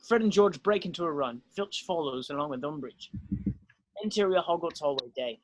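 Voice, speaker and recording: Higher-pitched man narrating a script. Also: expressive, moderate pace, slight background noise, low-quality recording. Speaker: narrator reading stage directions. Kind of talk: scripted screenplay narration, amateur table read.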